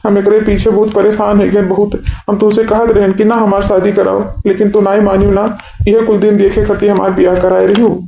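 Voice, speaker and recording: Henpecked cartoon husband , male performer, high flat voice, pleading repetitive delivery, eastern Uttar Pradesh accent. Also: fairly quick, creaky, a little hiss or hum. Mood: helpless, upset. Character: henpecked, helpless village husband caught between two wives. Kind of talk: village family comedy cartoon dub. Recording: echoey room sound.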